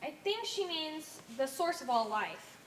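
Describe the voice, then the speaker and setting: A woman's voice: high-pitched, sing-song, measured pace, echoey room, low-quality recording. A woman playing an earnest, deferential alien in an amateur stage play, explaining things in a careful, theatrical way. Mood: respectful. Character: earnest, deferential female alien, member of a cell.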